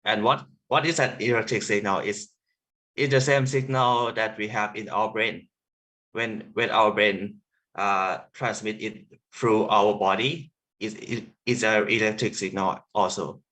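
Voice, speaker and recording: Young Thai male sound artist, slow, low voice, hesitant non-native English with a Thai accent. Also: steady tone, pushed and bright, low-quality recording. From Thailand. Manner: halting, many fillers and restarts. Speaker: sound artist presenting a workshop. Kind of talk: online workshop presentation.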